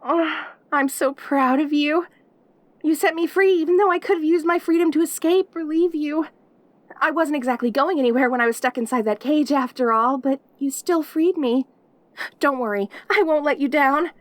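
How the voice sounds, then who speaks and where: A very high, girlish demon played by a woman, clingy and devoted in a yandere way. She speaks eagerly, tripping over her words, close and dry as if alone with the listener in an audio roleplay. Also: moderate pace, dry recording, natural voice. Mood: devoted, sincere. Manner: eager, stammering, giggly, devoted. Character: perky, lonely, clingy yandere demon girl, centuries old.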